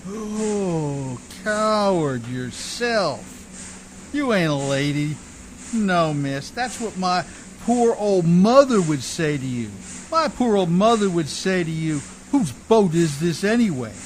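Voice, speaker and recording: A man playing a rough, exasperated, hard-drinking riverboat skipper in an old-time radio drama, with folksy uneducated speech. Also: sits high, expressive, slow pace, a little hiss or hum, poor audio. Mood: angry, stubborn. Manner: uneducated folksy speech, full of ain't and miss.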